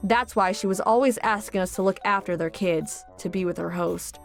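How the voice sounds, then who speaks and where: A woman's voice: medium pitch, normal speed, vocal fry, soft background music. A woman reading scripted first-person story narration as the narrator of a dubbed comic.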